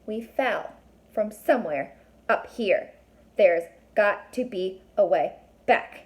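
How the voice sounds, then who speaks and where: A woman voicing an excitable, anxious young twin boy in a storybook, exclaiming and incredulous. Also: medium pitch, takes it slowly. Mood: determined, jolting.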